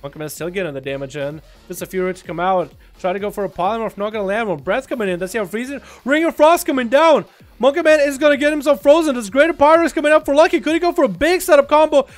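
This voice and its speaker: A man's voice: very high-pitched, fast, soft background music. A man with an American accent, a Russian speaker, hosting a livestream of game duels and chatting with viewers. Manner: excitable play-by-play, shouts at big moments. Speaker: streamer casting game duels. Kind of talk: livestream commentary and chat.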